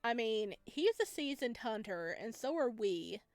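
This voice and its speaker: A woman's voice: a little high, expressive, unhurried, darkish tone, dry recording. A woman giving chatty, playful commentary on a playthrough, reading lines aloud as she goes. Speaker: game streamer. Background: game music.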